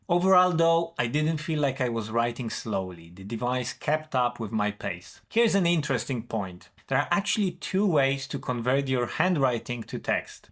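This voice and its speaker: A man reads through a product review at a mid-range pitch. He explains each point in a steady, even tone. His delivery is plain and methodical. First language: Polish. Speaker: tech reviewer. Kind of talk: scripted product review narration.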